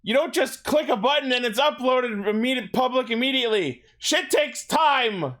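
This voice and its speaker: A man's voice: very high voice, expressive, normal speed, fairly bright tone. A man co-hosting a casual pop-culture podcast in a sweary, opinionated, exasperated way. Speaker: podcast co-host.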